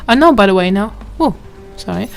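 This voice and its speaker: A woman's voice: medium pitch, lively intonation, fairly quick, creaky. A woman with a German accent, chatting and reading game text aloud as she plays. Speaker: gameplay commentator. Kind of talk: let's play commentary. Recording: game music behind.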